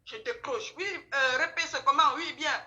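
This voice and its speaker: A woman with a high-pitched, bright voice and a Cameroonian French accent tells a story in casual gossip style. She is emphatic, often repeating her phrases and voicing bits of dialogue. The sound is roomy and echoing. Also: moderate pace, highs cut off. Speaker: guest telling her account.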